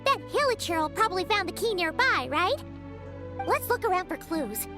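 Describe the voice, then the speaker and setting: Tiny, extremely squeaky fairy sidekick. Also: female voice, moderate pace. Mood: exasperated. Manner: perky, opinionated, expressive. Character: tiny, squeaky, chatty childlike fairy companion. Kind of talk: anime-style video game voice acting. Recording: game music clearly behind.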